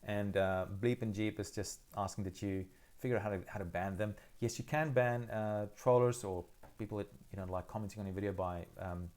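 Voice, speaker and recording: A middle-aged man from South Africa, whose first language is Afrikaans, hosts a live Q&A straight from his phone. His voice sits somewhat low, and he answers viewer questions in a relaxed, thoughtful way, full of ums and restarts. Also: fairly quick, hardly any pauses. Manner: thoughtful, hesitant, many fillers and restarts. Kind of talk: casual live Q&A.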